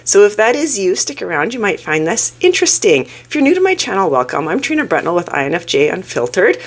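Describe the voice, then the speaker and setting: A woman hosting her own channel, sounding croaky from a cold as she talks through a topic in a casual, earnest, self-reflective way. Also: medium pitch, sing-song, fast talker. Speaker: YouTube channel host. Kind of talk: casual talking-head explainer.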